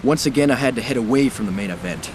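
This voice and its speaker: A man's voice: medium pitch, lively intonation, quick pace, darkish tone, a little hiss or hum, natural voice. An actor with an American accent playing a resolute, world-weary navy commander, narrating his war memoir in a dramatic war game. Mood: grave, reflective. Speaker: narrator and naval officer.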